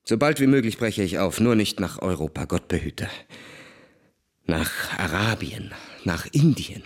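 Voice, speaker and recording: A man's voice: low-pitched, animated, a little slow. An actor playing a jaded young aristocratic officer, confessing his boredom and restless melancholy in a radio play. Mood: bitter restless. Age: young adult. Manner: world-weary, confessional.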